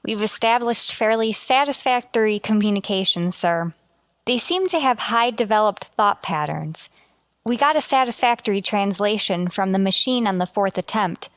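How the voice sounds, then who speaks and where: A woman's voice: mid-range voice, animated, moderate pace, no echo, natural voice. A woman playing an eager, brave and deferential spaceship officer in a sci-fi radio drama, reporting in a clipped, respectful military way. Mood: earnest. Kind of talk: golden age sci-fi radio drama acting.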